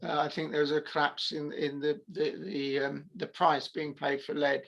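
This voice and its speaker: A man informally explaining on a video call, very hesitant and halting with frequent pauses. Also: a little high, little pitch movement, vocal fry, fairly bright tone, muffled recording. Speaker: panelist.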